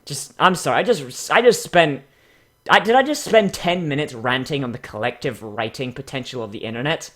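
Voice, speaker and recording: A man's voice: medium pitch, lively intonation, moderate pace, a bit bright. A man ranting casually as the host of a reading show, rambling, swearing and exasperated with heavy emphasis.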